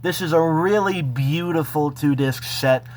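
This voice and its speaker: A man talking casually and unscripted as he shows off a personal collection, halting between phrases. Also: fairly high pitch, slight background noise. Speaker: vlogger showing a personal collection. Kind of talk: casual unscripted show-and-tell.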